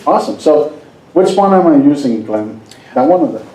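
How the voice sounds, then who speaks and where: A man with a Danish accent gives a seminar talk, quizzing his audience and cracking jokes. His voice is fairly high but mellow. The sound carries around an echoey hall. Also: expressive, moderate pace. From Denmark. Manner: jokey, asks the audience questions. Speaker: hypnosis presenter.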